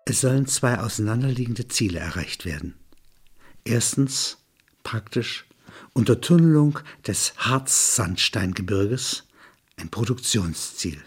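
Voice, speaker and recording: A man's voice: medium pitch, expressive, unhurried, husky. A man narrating a scripted documentary radio piece in a sober, clipped, report-like way.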